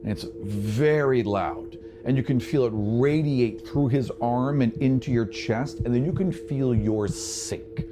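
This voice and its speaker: A man narrating a tabletop game as game master, with vivid, drawn-out descriptive delivery. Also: mid-range voice, over music. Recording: noisy, with ambient sound behind.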